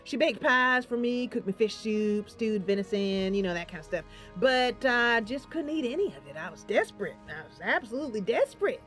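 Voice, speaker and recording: A woman's voice: a little high, animated, fairly quick, few pauses, fairly bright tone. Eccentric old herbalist played by a woman: rustic, rambling, theatrical game character, music underneath. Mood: rueful, confiding. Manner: rambling, folksy, theatrical with chuckles and sighs. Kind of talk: game roleplay voice acting.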